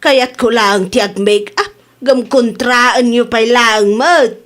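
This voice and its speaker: A vain old mother voiced by a woman in an Ilocano radio comedy. Her voice sits fairly high, with an Ilocano accent, and she is coy, playful and stubbornly defensive. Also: takes it slowly, a bit bright.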